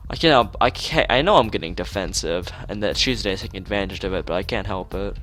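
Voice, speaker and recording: A man's voice: low-pitched, animated, quick pace, fairly bright tone, faint music behind. A man reading a visual novel aloud in an informal let's play, stumbling over words and restarting phrases, with off-the-cuff asides.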